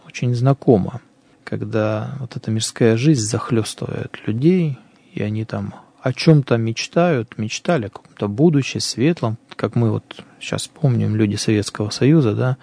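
A man's voice: medium pitch, creaky, warm tone, no room sound. A man who is a priest, talking earnestly and thinking aloud in a conversational religious program.

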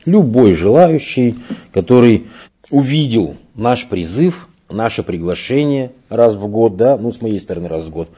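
Man, parish catechist being interviewed; low husky voice, slow and explanatory, lots of "uh"s. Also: animated, warm tone. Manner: unhurried, explanatory, many hesitations. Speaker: church catechist. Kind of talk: interview answers. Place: parish room.

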